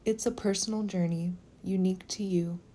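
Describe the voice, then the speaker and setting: A woman leading a guided meditation in a slow, gentle, soothing manner, with phrases sometimes softening toward a whisper. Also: medium pitch, fairly even intonation.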